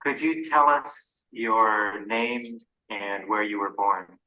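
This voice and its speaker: A man opens and conducts an archival oral history interview with plain, businesslike questions. His voice sits fairly low but sounds very bright and sharp. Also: takes it slowly, poor audio. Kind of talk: formal interview opening.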